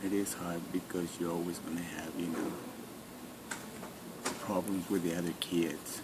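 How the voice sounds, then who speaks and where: A man's voice: low-pitched, level tone, moderate pace. A man in his forties from Mexico with a Mexican Spanish accent, a Spanish speaker giving brief, plain answers with restarts in an oral history interview. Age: 40s. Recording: noisy recording with room hiss.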